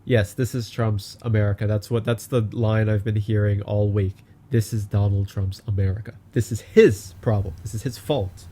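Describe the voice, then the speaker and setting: A man hosting a political talk podcast, casual, with sarcastic asides and quick agreement. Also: lowish voice, fairly even intonation, dark tone. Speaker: podcast host. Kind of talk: political talk show chat.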